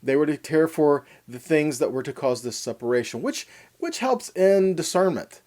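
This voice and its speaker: Mellow, expressive man teaching scripture. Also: a little high, normal speed. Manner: didactic, asks and answers own questions, checks in with "okay". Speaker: teacher of a weekly Torah portion. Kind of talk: Bible teaching, explaining and reading scripture.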